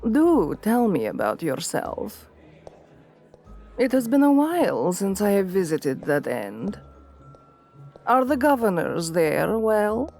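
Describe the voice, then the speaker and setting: A woman's voice: mid-range voice, sing-song, slow, vocal fry, long pauses, a little mellow, faint music behind, exaggerated voice. A woman playing an assertive, seductive vampire queen in an ASMR roleplay, formal and courtly, coaxing the listener with veiled menace. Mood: gracious, probing.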